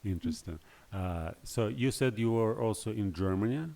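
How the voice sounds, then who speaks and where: A man with a very deep, mellow voice hosting a podcast. He asks his guest questions in a halting way, often starting words over. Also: gravelly. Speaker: podcast host. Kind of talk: interviewer asking questions.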